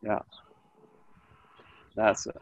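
A man in his thirties teaching an online aikido class, giving calm, short coaching cues outdoors in a grassy orchard, recorded on a camera mic as he moves nearer and farther. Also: drawn-out words, dry recording, dull audio. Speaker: aikido instructor. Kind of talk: live online class instruction. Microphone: camera mic, distance varies as he moves.

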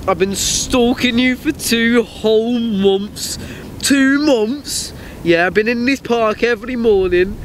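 A man's voice: high voice, slight background noise. Young man, blunt and laddish. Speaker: actor in a short film. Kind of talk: amateur short film acting. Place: outdoors in a park.

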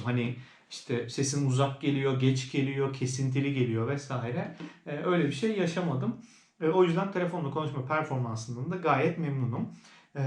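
A man giving a casual, conversational product review as a vlogger, explaining things as he goes. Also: on the high side. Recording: roomy indoor echo.

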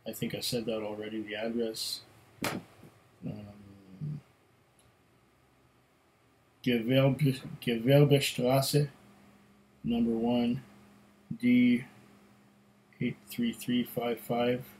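Slow, musing middle-aged man tasting whisky. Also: mid-range voice, fairly even intonation, darkish tone, a little hiss or hum, poor audio. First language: German. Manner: slow, musing, pauses to sniff and sip. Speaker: whisky reviewer. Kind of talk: casual tasting notes. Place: home room with shelves of bottles.

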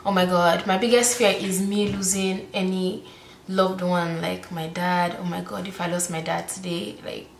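A woman's voice: on the low side, normal speed, vocal fry, echoey room, slight background noise. A woman in her twenties with a Nigerian accent, chatting casually to camera in a bedroom. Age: 20s. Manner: chatty, expressive, peppered with "like". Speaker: YouTuber. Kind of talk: casual Q&A vlog. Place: bedroom, indoors.

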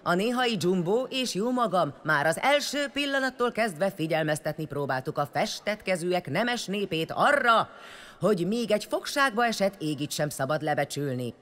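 Vengeful, swooping huntress. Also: female voice, fairly low pitch, expressive, fairly bright tone. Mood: declamatory, accusing. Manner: sarcastic, threatening. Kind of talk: audio drama acting.

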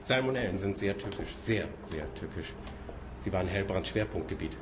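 Senior scientist, low voice, formal, hesitant, evasively polite, radio drama, noisy ambient background. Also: male voice, lively intonation, moderate pace. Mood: grave, courteous. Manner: formal, hesitant, repeating himself. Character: measured senior scientist at a marine institute, evasively courteous. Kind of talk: naturalistic German radio play acting.

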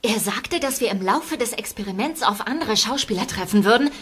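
An actress playing a serious young drama student who speaks earnestly in a radio play. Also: female voice, a little high, animated, fairly quick, fairly bright tone, a little hiss or hum.

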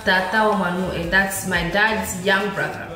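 A young woman, a native Ebembe speaker, teaches a casual online lesson in quick, friendly explanations and drills each word by repeating it. Her voice rings around a very echoey small room. Clear music plays behind her. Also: medium pitch, steady tone. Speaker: language teacher.

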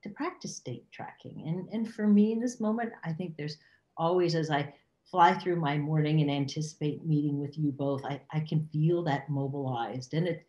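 Low-voiced older woman, echoey sound. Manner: expressive, halting as she searches for words. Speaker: podcast co-host. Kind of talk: reflective conversation. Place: home room with plants, video call. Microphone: computer mic on a video call. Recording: dull, echoey video-call sound.

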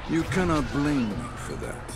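A man playing a sly, silky sorcerer in a fighting game, smooth-tongued and theatrical. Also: on the high side, big pitch swings, unhurried. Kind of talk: fighting game character dialogue. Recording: game audio under loud music.

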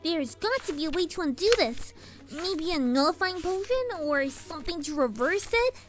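A little cartoon filly villain with a very high, squeaky voice. She is indignant and exasperated, her pitch jumping around, with music underneath. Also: expressive, moderate pace. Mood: frantic, puzzled. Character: bratty, scheming little winged filly villain, easily outraged. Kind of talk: cartoon fan fiction voice acting.

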